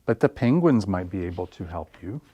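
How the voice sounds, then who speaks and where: A man's voice: low voice, animated, moderate pace, dark tone, breathy edge, no room sound. A man voicing helpful, apologetic animals in a children's storybook, with brief matter-of-fact replies. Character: assorted helpful animals, apologetic but friendly. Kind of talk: storybook characters read aloud for children.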